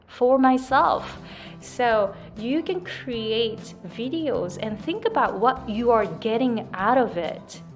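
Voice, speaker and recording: A woman with a Japanese accent, a native Japanese speaker and English coach, giving chatty business advice to camera and often checking in with "right?". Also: fairly high pitch. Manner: chatty, repeats "right?" and "like", self-corrections.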